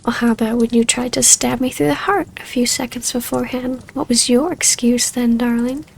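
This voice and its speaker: A woman acting a wistful, tender vampire baroness in an ASMR roleplay, speaking softly and haltingly in an intimate delivery. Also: fairly high pitch, fairly even intonation, creaky, a little hiss or hum.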